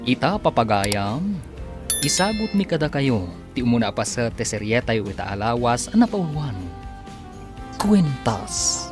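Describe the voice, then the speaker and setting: A man's voice: medium pitch, very animated, a little slow. A man with an Ilocano accent doing dramatic scripted announcing for a radio serial. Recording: music bed under voice. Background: music.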